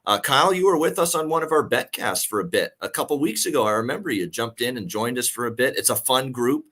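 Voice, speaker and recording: A man with a gravelly voice worn from a night of singing, hosting a sports betting show in casual, rambling talk. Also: mid-range voice, animated, quick pace, fairly bright tone.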